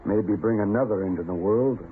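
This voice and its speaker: A tired American country doctor of the old West, played by an actor, reflective and conscientious, with a somewhat low voice. It is a radio drama on a muffled, noisy old recording. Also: male voice, fairly quick, close to an everyday voice. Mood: resigned. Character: weary, conscientious frontier town doctor. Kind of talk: old-time radio western acting. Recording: dull old radio recording, clear noise.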